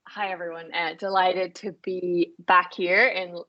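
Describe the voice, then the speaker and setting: A woman's voice: lowish voice, little pitch movement, measured pace, lo-fi sound. A woman from Ireland with an Irish accent, a nonprofit co-founder explaining her organisation in a conversational way over a video call.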